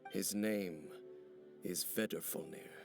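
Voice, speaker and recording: An actor playing a solemn, brooding ancient warrior in a video game, speaking gravely and formally, embittered by old betrayal. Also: male voice, sits low, exaggerated voice. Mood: bitter, solemn. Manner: grave, formal, measured speech. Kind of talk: video game character dialogue. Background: background music.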